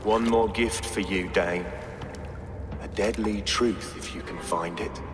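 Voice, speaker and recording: A low-voiced game villain, a bitter and zealous bishop, speaks with slow poetic menace. His words ring in a long echoing reverb with music behind. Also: steady tone. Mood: menacing, grave. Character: bitter zealous villain, male bishop who hates God. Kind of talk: dramatic game villain monologue.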